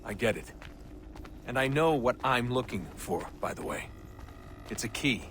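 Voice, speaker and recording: A man's voice: mid-range voice, lively intonation, a little slow, a bit bright, a little hiss or hum. An actor playing a weary, sarcastic young man who sighs and answers dryly. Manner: dry, sighing sarcasm. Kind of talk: video game cutscene acting.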